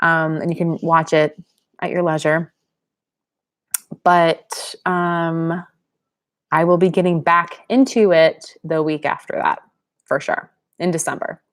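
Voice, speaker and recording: Young woman doing a live q&a, chatty, kinda low voice, talking to viewers. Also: fairly even intonation, slow pace, long pauses. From Pennsylvania, US. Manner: chatty, reads viewer comments aloud. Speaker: channel host. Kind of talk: live-stream Q&A chat.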